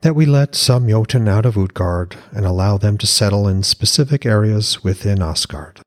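A man's voice: on the low side, expressive, moderate pace, lightly acted. Man voicing a guarded Norse queen. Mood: bracing. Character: composed, guarded Norse goddess queen, a mother.

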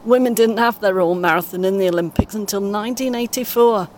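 A woman playing a kindly, encouraging British grandmother in her eighties in an audio play, speaking gently and reassuringly. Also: fairly quick, lightly acted. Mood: reminiscing. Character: kindly, encouraging 81-year-old grandmother on a coach. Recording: clear vehicle rumble noise. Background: vehicle ambience.

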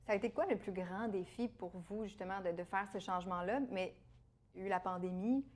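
A woman's voice: mid-range voice, fairly quick. A woman hosting an interview, asking conversational, curious questions. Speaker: host, interviewer.

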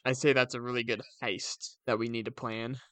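A man's voice: mid-range voice, flat delivery, moderate pace, pushed and bright, dry recording. A young man chatting casually as a podcast co-host in a playful, joking manner. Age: young adult.

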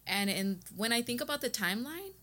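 A woman's voice: on the high side, expressive, brisk, bright, forceful tone. An American woman from Texas talking casually and earnestly as an interview guest, telling her personal story in informal, emphatic language. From Texas, United States. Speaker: podcast guest, beauty business owner and educator.

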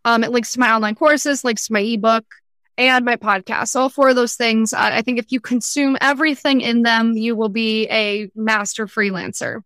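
A young woman chatting casually as an interview guest, talking in an animated, candid and emphatic way. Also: a little high, moderate pace, dry recording. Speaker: podcast guest, freelance entrepreneur.

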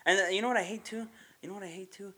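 Fast, bright-voiced young man. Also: sits high, steady tone, slightly rough. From Southern California. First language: Spanish. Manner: easygoing, with lots of "like," "right," and repeated starts. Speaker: podcast guest. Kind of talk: casual chat.

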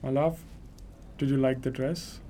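A man's voice: mid-range voice, fairly even intonation, measured pace, mellow, close to an everyday voice. A young man playing a devoted, eager-to-please clerk husband in an amateur radio drama, tender and reassuring. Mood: gentle, caring. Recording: noisy amateur recording.

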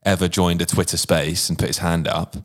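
Low-voiced man chatting easily. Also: level tone, a little fast. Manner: chatty, agreeable, anecdotal. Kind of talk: casual discussion.

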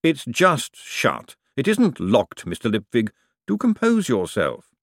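A man's voice: mid-range voice, wildly swooping pitch, vocal fry, no room sound, clean studio sound, pitched above the performer's own voice, heavily acted. A man acting an urbane, coolly menacing lord. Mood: smooth, dry. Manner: measured, formal, drily ironic. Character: urbane, calmly menacing ruling lord, dry and precise.

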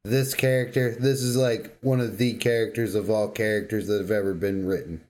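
A man's voice: lowish voice, fairly even intonation, normal speed, darkish tone. A man co-hosting a casual gaming podcast, bantering in informal slang with emphatic agreement. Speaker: podcast co-host.

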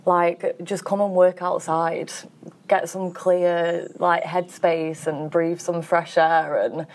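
A woman's voice: lowish voice, little pitch movement, takes it slowly, a little mellow. A woman grower answering interview questions in a chatty, easygoing way, with lots of fillers and easy laughter. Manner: chatty, full of "like", laughs easily. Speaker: farm grower.

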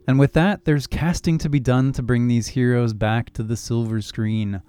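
A man's voice: mid-range voice, moderate pace, clean tone. A young man co-hosting a casual film podcast, moving the talk along through a list of topics. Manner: relaxed, steers the segment through a list. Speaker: podcast co-host. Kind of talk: casual chat.

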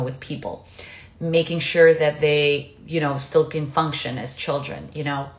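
A woman with a low voice talks personally in a conversation. She is halting, restarting her phrases as she searches for words, and the sound is roomy and slightly dull. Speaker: interview participant, writer and parent.

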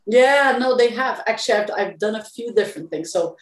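A woman executive from Brazil, a guest in a business interview, talking in a measured way and thinking aloud with restarts. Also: a little high, animated, roomy sound, distant mic. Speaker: company president, podcast guest.

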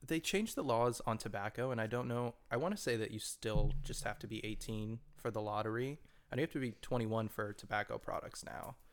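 A man in his twenties, co-hosting a podcast, talking in relaxed, reflective, hedged run-on sentences. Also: fairly low pitch, a little fast. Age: 20s. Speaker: podcast co-host. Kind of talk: casual chat.

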